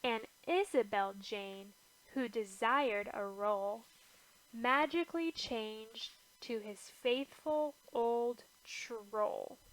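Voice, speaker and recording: A woman reading a rhyming children's picture book aloud in an expressive, rhythmic way. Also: fairly high pitch, low-quality recording. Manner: expressive, measured rhythmic verse delivery. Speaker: storybook reader.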